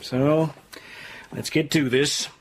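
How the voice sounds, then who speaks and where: A man's voice: fairly high pitch, fairly even intonation, slow pace, slight background noise. An actor playing a bold, restless man of action in an audio drama. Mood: eager, impatient. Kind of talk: radio drama acting.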